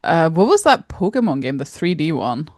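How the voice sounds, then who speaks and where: A woman's voice: low voice, big pitch swings, moderate pace, a little mellow, dry recording, studio quality. A woman chatting casually as a podcast co-host, wry and self-deprecating. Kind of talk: casual chat.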